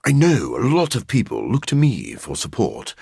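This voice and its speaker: An actor playing a sophisticated, bookish vampire scientist in a video game, speaking in an articulate, formal way with dry wit and a raspy edge. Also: male voice, mid-range voice, huge pitch swings, normal speed, darkish tone, dry recording, exaggerated voice. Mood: wry. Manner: articulate, formal, dryly witty. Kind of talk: video game character voice lines.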